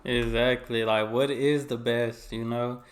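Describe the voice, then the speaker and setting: A man hosting a reaction video, talking casually with loose phrasing and quick agreeing interjections. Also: medium pitch, little pitch movement. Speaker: reaction channel host.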